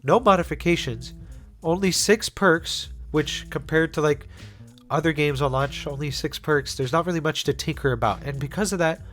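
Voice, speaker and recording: A man's voice: a little high, moderate pace, fairly bright tone, faint music behind. A young man with a congested voice, narrating a scripted video essay on a game in a casual way, with fillers and restarts. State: congested after being sick. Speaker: gaming YouTuber.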